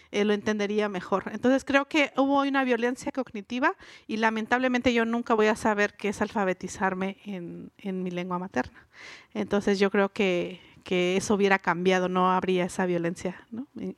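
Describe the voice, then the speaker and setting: A woman's voice: on the high side, no room sound. Woman guest on a live podcast, thoughtful, lots of "no?" tags, dry close sound. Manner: reflective, many fillers and tag questions. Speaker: guest writer and linguist.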